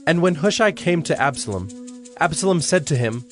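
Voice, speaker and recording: Measured male scripture narrator over soft music. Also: a little high, lively intonation.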